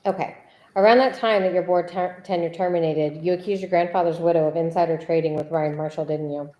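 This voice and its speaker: A woman reading a deposition transcript as a persistent attorney who needles a witness and repeats questions deliberately. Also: fairly low pitch, fairly even intonation, dull audio, close to an everyday voice. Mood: probing. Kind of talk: read-aloud deposition transcript.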